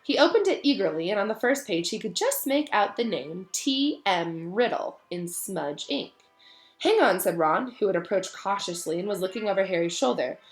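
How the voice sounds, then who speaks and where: Animated woman narrating a children's novel. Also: medium pitch, moderate pace, hardly any pauses, soft background music. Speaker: read-aloud narrator.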